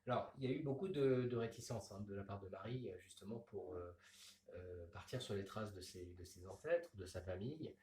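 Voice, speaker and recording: A man, a comics author, talking in a radio interview with many pauses and restarts. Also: lowish voice, mellow, reverberant, distant mic, slight background noise. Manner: hesitant, many fillers and restarts.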